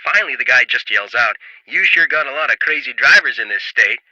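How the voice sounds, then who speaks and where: Lo-fi game voice: wry storm chaser, sharp, swooping delivery, mocking raconteur. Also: male voice, mid-range voice, lively intonation, fairly quick, no echo. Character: animated male storm chaser telling a wry anecdote. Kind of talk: storytelling in a video game.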